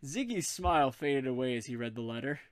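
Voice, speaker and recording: A man's voice: medium pitch, lively intonation, brisk, no echo. A man narrating a comic story as he reads it aloud. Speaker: narrator, reader.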